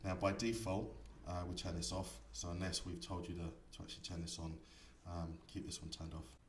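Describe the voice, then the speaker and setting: A man giving a software tutorial, explaining each setting step by step in an instructor's manner. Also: low voice, level tone, fairly quick. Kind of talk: screen-recorded tutorial explanation. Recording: echoey room, distant mic, faint noise.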